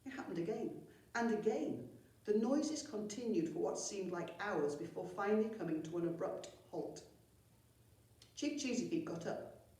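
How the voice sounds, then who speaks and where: A woman's voice: mid-range voice, animated, moderate pace, warm tone. A woman reading a children's story expressively, as a storyteller at a primary school. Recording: very echoey room, far from mic.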